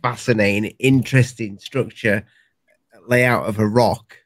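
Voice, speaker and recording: A man's voice: fairly low pitch, fairly even intonation, unhurried, a bit bright, no room sound. A man chatting informally as an interviewer. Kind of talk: casual chat.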